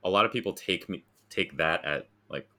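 Very deep-voiced American man, bright tone. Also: lively intonation, moderate pace. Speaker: interview guest, graphic designer. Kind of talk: casual conversational storytelling.

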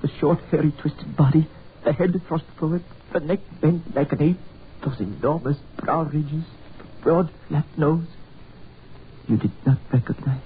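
A male actor plays an injured man gripped by awe and dread in a 1940s radio thriller. His voice sits fairly high, with formal, halting, theatrical phrasing. The old recording is tinny and lightly hissy. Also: lightly acted. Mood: horrified. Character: injured foreign man, agitated, awestruck and insistent. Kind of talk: 1940s radio horror acting.

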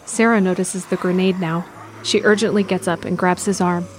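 A woman's voice: fairly low pitch, fairly even intonation, moderate pace, slight background noise. A woman reading scripted present-tense narration for an audio drama.

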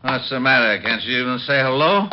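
A man's voice: mid-range voice, animated, brisk, noisy background, lightly acted. An actor playing a confused, rambling man who seems lost and drunk, on a lo-fi old radio broadcast. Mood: befuddled. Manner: rambling, befuddled.

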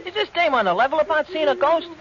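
An actor playing an excitable, loyal reformed crook in 1940s American radio drama, talking in jumpy, naive slang. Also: male voice, very high-pitched, fast talker. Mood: eager, deferential. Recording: muffled, noisy old radio recording.

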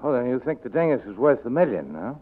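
An actor playing a sardonic, terse private detective who wisecracks, in a 1940s radio drama. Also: male voice, mid-range voice, big pitch swings, fast, a little hiss or hum, natural voice. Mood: guarded, dry. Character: hard-boiled, sardonic, unflappable male private detective. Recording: tinny vintage 1940s radio recording.

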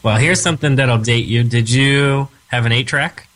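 A man's voice: mid-range voice, moderate pace, a bit bright. Rambling casual male show host. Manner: rambling, friendly, with restarts. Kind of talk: casual interview hosting.

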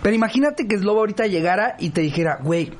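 A man with a high voice and a Mexican accent hosts a casual comedic podcast. He jokes around in loose slang and swears freely. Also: expressive, racing, slight background noise. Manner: joking, slangy, foul-mouthed.

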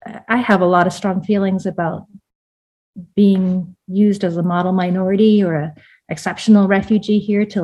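A woman from Vietnam, an author talking through her thoughts in a relaxed interview, with lots of fillers and restarts. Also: fairly low pitch, husky. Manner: reflective, many fillers and restarts, laughs often. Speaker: author and guest. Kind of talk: casual interview conversation.

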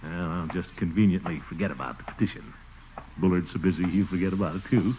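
An actor playing a pompous, flustered middle-aged schemer in a 1940s radio comedy, blustering and self-satisfied. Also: male voice, low voice, fast, phone-quality sound, lightly acted. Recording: scratchy, band-limited 1940s radio broadcast.

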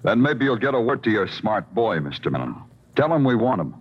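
An actor playing a hard-boiled, world-weary police detective, moody and clipped, in vintage radio noir. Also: male voice, on the low side, very animated, fairly quick, a little hiss or hum. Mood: threatening. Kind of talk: 1950s radio noir narration and acting. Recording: muffled vintage radio recording, loud music.

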